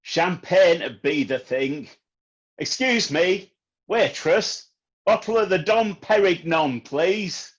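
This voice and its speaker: A man's voice: high voice, animated, slow pace, lo-fi sound, heavily acted. An actor playing a pompous, exasperated businessman and long-suffering son in a comedy radio play. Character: exasperated, self-important businessman son of deaf mother.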